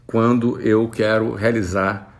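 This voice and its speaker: A Brazilian man in his forties, an entrepreneur talking casually to camera and answering follower questions in a relaxed, conversational way. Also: lowish voice, takes it slowly. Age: 40s. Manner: relaxed, conversational, with frequent fillers.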